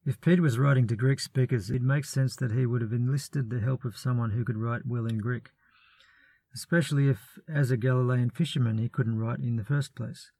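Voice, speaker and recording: Calm man explaining scripture, dry sound. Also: mid-range voice, no echo. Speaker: biblical studies presenter. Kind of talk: measured scripted commentary.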